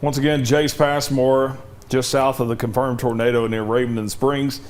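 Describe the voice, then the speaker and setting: A man covers a severe weather outbreak live on TV, urging viewers to take cover. His voice is worn and a little hoarse from a long night. He speaks at an ordinary middle pitch, firm and directive. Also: flat delivery, normal speed, darkish tone. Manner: urgent, directive safety warnings. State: losing his voice, cough drop in mouth. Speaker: TV meteorologist.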